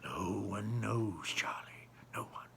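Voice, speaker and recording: A man voicing an excitable elderly grandfather telling a mysterious storybook tale, dramatic and dropping to hushed tones for the mystery. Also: fairly low pitch, slow, vocal fry, slight background noise, pitched below the performer's own voice, full character voice. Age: older.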